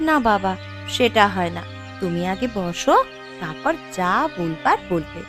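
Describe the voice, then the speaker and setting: A woman voicing a poor, humble younger daughter in a cartoon, meek, soft and respectful toward her father. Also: on the low side, very animated, measured pace, over music. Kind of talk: Bengali cartoon voice acting.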